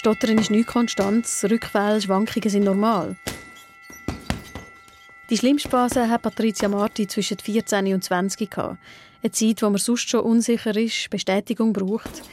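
A woman, a reporter giving calm, reflective narration and asking questions. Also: mid-range voice, moderate pace, noisy background. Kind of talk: scripted narration and interviewing.

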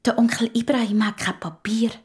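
A woman's voice: a little high, steady tone, brisk, slightly rough, pitched above the performer's own voice. A woman voicing a curious, outspoken young girl in a children's audio story, eager and emphatic, with a Swiss German accent. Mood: secretive.

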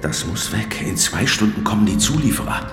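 An actor playing a calm, suspicious crime boss who probes and gives measured orders in a German radio crime drama. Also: male voice, lowish voice, natural voice. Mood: commanding. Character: calm, calculating male crime boss, quietly suspicious and threatening. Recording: echoing hall, loud music underneath.

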